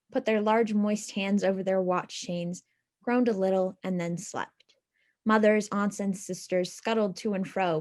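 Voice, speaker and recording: Woman expressively reading a literary story. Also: medium pitch. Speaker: reader in a readers theatre.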